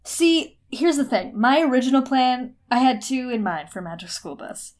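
A woman's voice: high-pitched, very animated, normal speed, a little mellow. A woman co-hosting a podcast in casual chat, swearing, mocking herself and often breaking into laughter. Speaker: podcast co-host.

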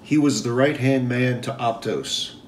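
A man's voice: medium pitch, steady tone, a little slow, natural voice. A man playing a villain's businesslike lieutenant, matter-of-fact in his delivery. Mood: measured, conspiratorial. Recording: echoey room, distant mic, faint noise.

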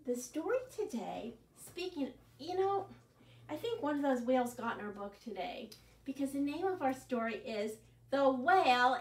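A woman in her sixties, a children's librarian leading an animated, sing-song storytime from an armchair in a living room. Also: sits high. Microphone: camera at a distance. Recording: echoey room, far from the mic.

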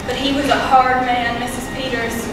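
A rueful, plain-spoken farm wife in a stage drama, acted by a young woman. Her voice is high and earnest, with folksy phrasing. It comes from far off, very echoey, over clear noise. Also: flat delivery, normal speed, lightly acted. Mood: regretful, reflective. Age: young adult. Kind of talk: amateur stage play acting. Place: small theatre stage, filmed from audience. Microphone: distant camera.

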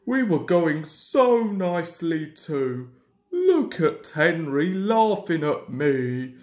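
A woman's voice: sits low, very animated, slow pace, a little mellow, roomy sound, exaggerated voice. A woman voicing a proud, pompous male steam engine in a children's storybook, grumbling and haughty. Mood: dismayed.

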